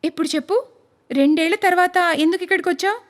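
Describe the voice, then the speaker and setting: A woman's voice: extremely high pitch, quick pace. A woman voicing a scheming, greedy young runaway wife in a cartoon story. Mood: demanding. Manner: brash, demanding.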